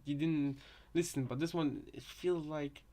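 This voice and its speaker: A man with a somewhat high voice gives casual fan commentary. He thinks aloud, circling back over his phrases hesitantly. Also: steady tone, a little slow, mellow. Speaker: reactor, fan theorist.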